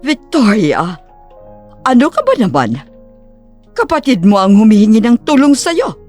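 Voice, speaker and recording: A woman's voice: fairly high pitch, huge pitch swings, measured pace, darkish tone, soft background music, full character voice. A woman playing a reproachful, proud middle-aged mother in an audio drama.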